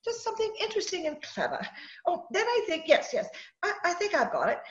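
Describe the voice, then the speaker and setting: A woman's voice: very high voice, sing-song, a little fast. A woman playing a fussy, dithering society hostess in 1940s radio style, stammering as she goes. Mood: fussy excitement. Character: fluttery, fussy society hostess running party games. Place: home, recorded over video call. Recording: echoey muffled home video-call recording.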